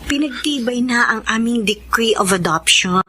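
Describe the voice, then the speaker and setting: A woman's voice: a little high, moderate pace, bright, forceful tone, a little hiss or hum. A woman playing a loving, reassuring adoptive mother in a radio drama, tender and earnest.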